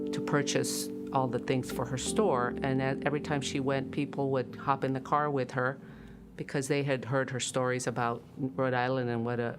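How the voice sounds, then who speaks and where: Slow, even-toned storyteller. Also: mid-range voice. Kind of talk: documentary interview.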